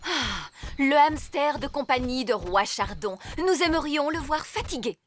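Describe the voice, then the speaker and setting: A woman voicing a kindly, no-nonsense fairy nanny in a French cartoon dub, theatrical and crisp. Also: high-pitched, animated, unhurried, a little hiss or hum. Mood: practical. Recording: music under dialogue.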